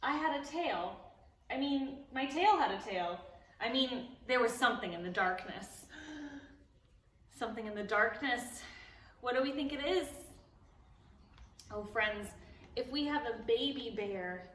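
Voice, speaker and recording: A woman reading a picture book aloud to preschoolers at a library storytime, animated and playful, stopping to ask the children questions. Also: a little high, unhurried, pauses often, a little hiss or hum. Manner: playful, gasps and exclaims at the story. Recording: echoey room, voice a bit far from the mic.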